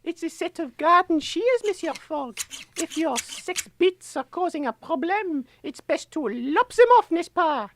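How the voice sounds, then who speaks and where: Very high-voiced sinister quack lady doctor. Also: lively intonation, measured pace, a little hiss or hum, full character voice. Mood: smugly sinister. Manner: theatrical, politely sinister. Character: menacing cheerful quack lady doctor with French phrases. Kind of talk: audio sketch comedy acting.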